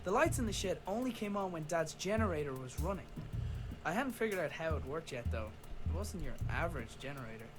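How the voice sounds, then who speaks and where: An actor playing a wry, gentle inventor's son who narrates his thoughts in an adventure game. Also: fairly high pitch, very animated, brisk, music in the background. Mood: reflective, uneasy.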